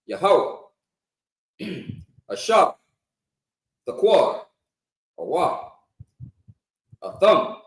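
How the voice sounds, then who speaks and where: A man reading scripture aloud to a gathering, sounding out each word slowly and haltingly. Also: on the high side. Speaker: congregation member reading scripture. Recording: echoey hall, off mic.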